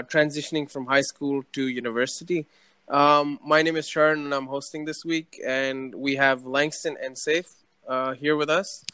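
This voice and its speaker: Male podcast host, young adult, informal and playful, crisp tone, no room sound. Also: medium pitch, a bit bright, dry recording. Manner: informal, joking with friends. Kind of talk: casual podcast chat.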